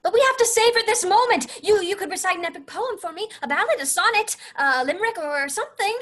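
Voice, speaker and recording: A woman's voice: high-pitched, animated, moderate pace, a bit bright. A woman playing a romantic, insistent young princess with lofty storybook diction and an indignant streak. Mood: insistent, pleading. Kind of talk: comic animated-film character acting.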